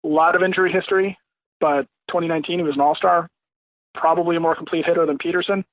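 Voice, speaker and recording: A middle-aged man giving casual sports analysis as a podcast guest, haltingly, as if thinking aloud. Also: fairly high pitch, steady tone, no echo. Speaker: podcast guest, baseball pundit. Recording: dry, dull lo-fi connection.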